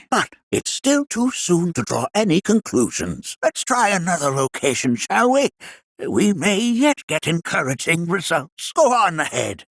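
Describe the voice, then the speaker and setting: Whimsical old scholar for a game, creaky and high-ish, wildly up and down, formal old-timey wording. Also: male voice, no echo, clean studio sound, exaggerated voice. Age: older. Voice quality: raspy, creaky. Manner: formal, old-fashioned phrasing, musing and theatrical. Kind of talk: video game character acting.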